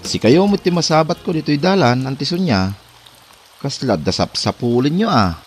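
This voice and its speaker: A man's voice: on the high side, animated, normal speed, breathy edge, soft background music. A man playing a talkative nephew in an Ilocano comedy drama, with an Ilocano accent. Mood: chatty. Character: talkative, matter-of-fact nephew.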